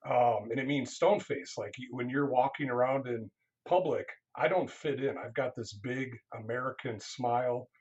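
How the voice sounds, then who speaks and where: A man's voice: mid-range voice, normal speed, darkish tone, lo-fi sound. A man, a counseling professor, speaking earnestly in a casual interview, often restarting his sentences.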